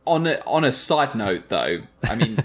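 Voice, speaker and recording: A man's voice: medium pitch, sing-song, normal speed. Man chatting, thinking aloud. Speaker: podcast host. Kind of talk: casual chat.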